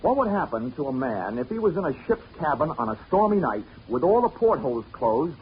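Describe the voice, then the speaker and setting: An actor playing a wisecracking, hard-boiled investigator in a 1940s radio drama, speaking in a dry, clipped, wry way. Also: male voice, fairly high pitch, very animated, fairly quick, slight background noise. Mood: brisk, urgent. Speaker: lead actor and narrator. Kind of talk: 1940s radio detective acting, first-person narration. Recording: dull old radio recording, loud music.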